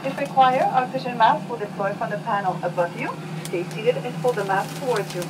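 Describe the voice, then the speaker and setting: A woman giving a scripted airline safety announcement in a measured, formal way, heard over the cabin speakers of an airplane. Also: on the high side. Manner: measured, formal, even delivery. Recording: distant cabin speaker with engine hum.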